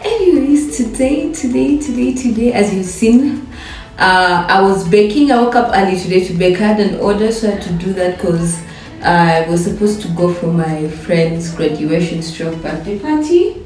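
A woman's voice: medium pitch, animated, normal speed. Chatty young woman in an echoey bathroom. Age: young adult. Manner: chatty, playful, repeats herself for fun. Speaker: vlogger and home baker. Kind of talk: casual get-ready-with-me vlog chat. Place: bathroom with tiled walls and glass shower. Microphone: camera set back across the room. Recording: echoey bathroom, heard from a distance, soft music.

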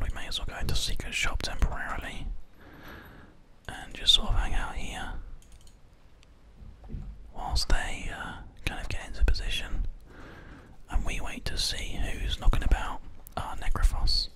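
A man speaks entirely in a breath-only whisper, very close to a binaural microphone. His delivery is gentle, measured and unhurried, in the style of an ASMR gaming host talking the listener through play. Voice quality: unvoiced whisper. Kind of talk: ASMR gameplay commentary. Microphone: close binaural microphone, ear to ear.

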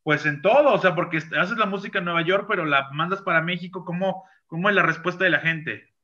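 Guy hosting over a video call, bright voice a bit high, keeps restarting questions, muffled lo-fi audio. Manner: halting, restarts questions, informal. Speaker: interviewer host. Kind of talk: casual interview. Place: home room with bookshelves. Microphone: video call webcam. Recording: dull lo-fi webcam audio.

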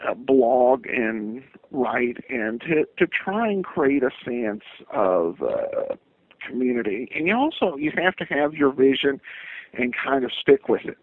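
A man giving conversational interview answers as a podcaster, in a halting, rambling way. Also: medium pitch, sing-song, breathy edge. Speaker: podcast host, interviewed guest. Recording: muffled phone-like line.

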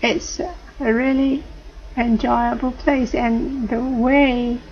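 A middle-aged woman with a British colonial accent talks slowly to her webcam at home, often rephrasing herself. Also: on the high side, steady tone. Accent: British colonial English. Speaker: vlogger. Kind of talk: casual talk to camera. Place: home room, indoors. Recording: dull lo-fi webcam audio, faint hiss.

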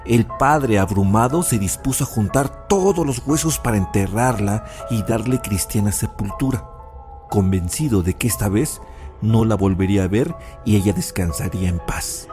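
A man acts as an old grandfather telling a spooky legend in a radio play. His voice is low and full of suspense, dramatically narrating over music. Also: normal speed, darkish tone, natural voice. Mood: suspenseful.

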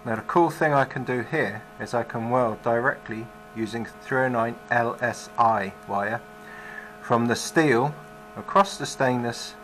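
A man's voice: mid-range voice, a little slow, a bit bright, echoey room, recorded from a distance, a little hiss or hum, rough recording. A man in his thirties with the flu, casually explaining his boat build while working in a cold garage workshop. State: has the flu.

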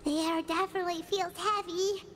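Tiny squeaky fairy girl, extremely high. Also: moderate pace, a little hiss or hum. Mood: uneasy. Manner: squeaky, expressive. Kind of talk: cartoonish video game voice acting.

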